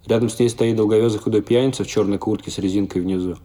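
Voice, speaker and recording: Male narrator, audio drama: lowish, warm-toned, brisk, plain observational read. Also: fairly even intonation. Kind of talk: scripted narration.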